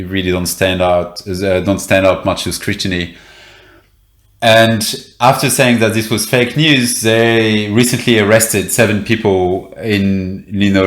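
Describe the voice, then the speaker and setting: A man's voice: low voice, echoey room. A man, a reporter guest in an interview, explaining events with many fillers and restarts. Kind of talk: interview conversation.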